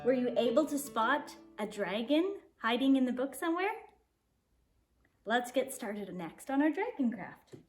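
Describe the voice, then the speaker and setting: A woman with a high voice talks to young children as their teacher. She is expressive and keeps her phrasing simple, whether reading a storybook or explaining a craft. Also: measured pace, soft background music. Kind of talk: storybook read-aloud and craft instructions for children.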